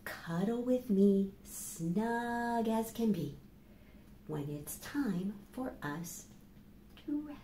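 A middle-aged woman hosting a children's storytime, speaking slowly and deliberately to young listeners as she reads and asks questions. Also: medium pitch, expressive, dark tone. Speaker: library storytime host. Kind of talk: children's storytime, reading picture books aloud. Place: small plain indoor room. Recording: echoey room with faint background noise.

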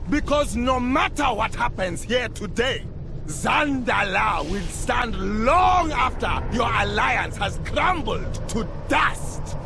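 Haughty, booming troll king, slow and dramatic. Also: creaky, background noise, exaggerated voice. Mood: defiant fury. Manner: regal, declamatory, haughty. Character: proud, imperious old troll king defending his empire. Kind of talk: dramatic video game acting.

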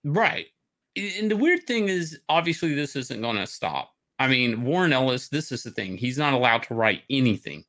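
A man's voice: mid-range voice, very animated, normal speed, a bit bright. Man ranting casually on a livestream. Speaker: stream host. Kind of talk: casual opinionated rant on a livestream. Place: indoor room, livestream on webcam.

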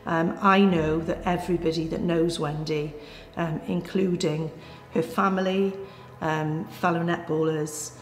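A woman in her sixties, a former netball player and coach, speaking earnestly with many pauses and fillers in a seated interview indoors. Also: sits low, fairly even intonation. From Wales. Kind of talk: reflective interview. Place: indoor corridor. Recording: echoey hall, soft music beneath.

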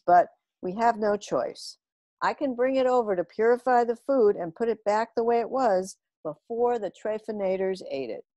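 A woman's voice: a little high, a little mellow, no room sound, close to an everyday voice. A woman voicing a proud inventor father explaining his gadget matter-of-factly.

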